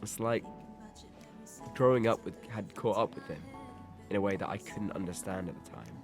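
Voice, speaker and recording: A man's voice: lowish voice, moderate pace, vocal fry, soft background music. A man playing a sincere, reflective teenage boy in an audio drama. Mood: sad, reflective. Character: Peter, wistful teenage boy attached to his best friend.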